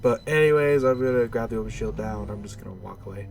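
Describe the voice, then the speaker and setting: A man's voice: lowish voice, gravelly, slight background noise. A man with a stuffy, nasal voice giving casual, rambling, unscripted commentary while playing a video game. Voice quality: nasal, congested. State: stuffy nose.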